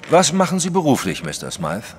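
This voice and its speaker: An actor playing a big, brusque, skeptical detective in an audio drama, questioning in a dry, commanding way. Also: male voice, mid-range voice, huge pitch swings, fairly quick, a little hiss or hum. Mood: businesslike. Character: huge, brusque, skeptical French male detective. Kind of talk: German audio drama acting.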